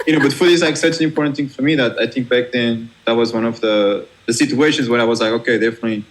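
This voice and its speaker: A man's voice: mid-range voice, fairly even intonation, poor audio. A young Portuguese man with a Portuguese accent, a DJ guest talking casually in an interview, restarting his sentences and laughing easily. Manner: halting, many restarts and fillers, laughs easily. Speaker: guest DJ and music producer.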